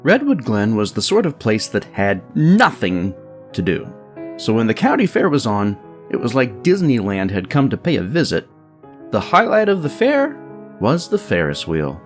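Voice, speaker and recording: A man narrating a scripted comic story in an animated, dramatic storytelling manner. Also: mid-range voice, normal speed, soft background music. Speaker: narrator and writer of a comedy story podcast.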